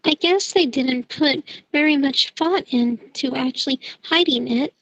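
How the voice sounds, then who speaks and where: A woman's voice: high voice, measured pace, no room sound, highs cut off. A woman playing a stubborn, bossy schoolgirl witch in an amateur audio drama, insistent in manner. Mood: matter-of-fact. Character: Jen, bossy, stubborn schoolgirl witch, fussing over her friend.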